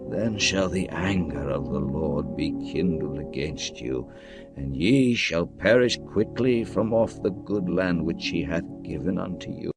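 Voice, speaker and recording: A man playing Joshua, a leader solemnly addressing his people in a dramatized scripture reading, with music playing behind. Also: on the low side. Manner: solemn, commanding oratory.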